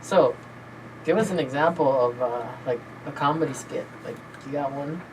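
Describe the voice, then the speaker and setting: A woman with a low voice hosts a college radio interview, asking casual, upbeat questions. A noticeable hiss sits behind her voice. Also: normal speed. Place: small radio studio. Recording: noisy background hiss.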